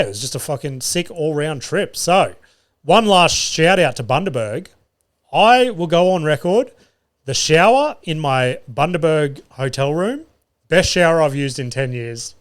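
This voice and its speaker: A man's voice: a little high, expressive, normal speed. A young man hosting his solo podcast, telling comedy-gig stories in a loose, sweary way and laughing at his own jokes. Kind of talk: casual solo podcast storytelling. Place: studio.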